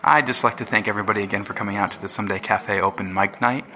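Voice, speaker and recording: A man playing a cafe open mic emcee giving brisk introductions. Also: on the low side, fairly even intonation, sharp tone. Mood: announcing.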